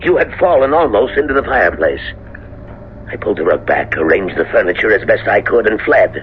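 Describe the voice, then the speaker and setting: An actor playing a fearful, defensive sorcerer's accomplice in a 1970s radio horror drama, with grave, dramatic delivery. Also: male voice, fairly low pitch, big pitch swings, brisk. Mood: anxious recounting. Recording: dull old radio broadcast with music.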